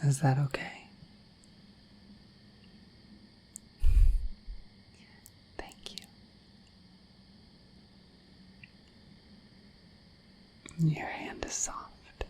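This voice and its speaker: A woman voicing a shy, friendly dragon in a breathy whispered ASMR roleplay, rambling gently and hesitantly to the listener. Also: very deep voice, exaggerated voice, low-quality recording. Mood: shy, tender. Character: shy, friendly, dorky dragon in humanoid form.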